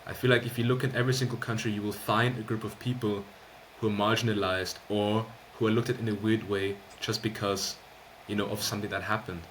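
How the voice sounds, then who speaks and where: A man's voice: on the low side, level tone, fairly bright tone, slight background noise, low-quality recording. A man hosting a podcast, talking earnestly in a casual discussion and often saying "you know.". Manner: quick, earnest, many fillers of "you know". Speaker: podcast host.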